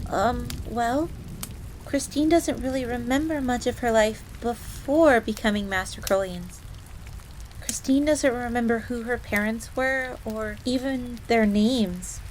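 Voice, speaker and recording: Girl voice actor doing a tsundere fox maid for audio roleplay, highish voice, stiffly proper but flustered, rain in the background. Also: natural voice, rough recording. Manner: formal, third-person speech with flustered stammers. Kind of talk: roleplay audio drama acting. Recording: steady rain noise throughout. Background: rain ambience.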